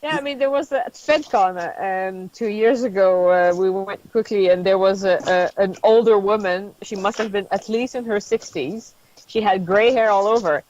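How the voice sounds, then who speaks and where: A woman in her fifties shares reflective anecdotes on a podcast panel, speaking at an ordinary pitch. Her voice comes through a dull, lo-fi connection. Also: normal speed, a little mellow. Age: 50s. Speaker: podcast guest. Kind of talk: casual panel chat. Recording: dull lo-fi sound.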